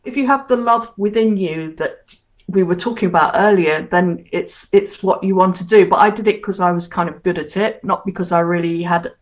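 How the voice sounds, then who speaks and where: A woman in her sixties from Hong Kong, chatting as a guest in an interview and reminiscing about her career. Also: on the low side. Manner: chatty, reminiscing, quick to laugh. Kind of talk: casual interview.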